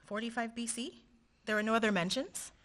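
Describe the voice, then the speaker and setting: A woman's voice: a little high, fairly even intonation, fairly quick, fairly bright tone, lightly acted. A woman playing a teasing, beguiling young female graduate student in an amateur radio play. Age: young adult. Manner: teasing, playful.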